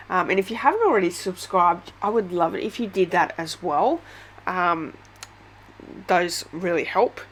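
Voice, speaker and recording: An Australian woman with a head cold, chatting through a casual step-by-step craft tutorial with many ums. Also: medium pitch.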